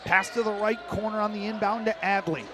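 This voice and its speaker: A man doing live radio play-by-play of basketball as the announcer, calling the action in clipped, rapid-fire phrases. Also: high voice, steady tone.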